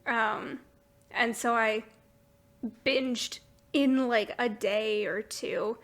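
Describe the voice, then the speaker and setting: A woman's voice: a little high, fairly even intonation, very slow. A woman hosting a podcast, chatting casually in a gushing, enthusiastic way. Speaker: podcast host. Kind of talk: casual chat about books and shows.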